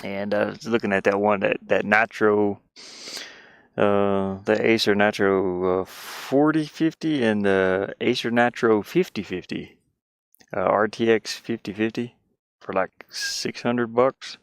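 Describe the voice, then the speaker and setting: A man chatting casually on a game livestream, rambling and thinking aloud in a halting way. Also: lowish voice, clean tone, dry recording, clean studio sound. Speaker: game streamer and indie game developer.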